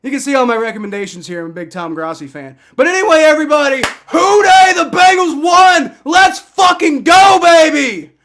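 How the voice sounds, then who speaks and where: A young man calls out his team's win on a fan livestream, yelling and swearing with raw excitement. His voice sits very high and sounds bright and strained. He has shouted himself partly hoarse. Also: normal speed, few pauses. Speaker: sports fan livestream host. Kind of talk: excited live fan reaction.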